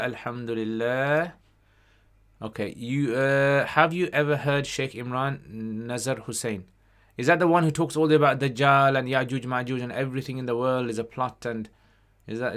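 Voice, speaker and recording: A man with a mid-pitched voice hosts an informal livestream question session. He reads out questions and answers them in a conversational way, dropping Arabic phrases into his casual talk.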